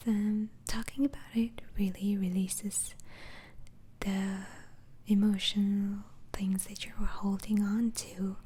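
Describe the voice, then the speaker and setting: A woman whispering softly in a slow, hesitant, soothing ASMR roleplay as a gentle, reassuring doctor. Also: medium pitch, a little hiss or hum. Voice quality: soft, breathy whisper.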